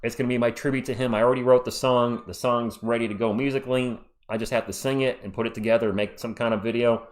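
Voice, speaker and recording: A man's voice: medium pitch, fairly even intonation, fast, hardly any pauses. Casual man hosting a live sports stream. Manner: chatty, reads viewer comments aloud. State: voice worn out. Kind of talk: casual live stream chat reading comments.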